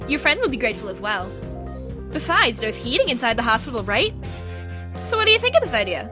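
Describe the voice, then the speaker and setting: A woman's voice: a little high, very animated, fast. A woman playing a bossy, opinionated schoolgirl club leader in an anime-style audio drama, speaking assertively. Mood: decisive, eager. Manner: assertive, declarative. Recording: music behind the voice.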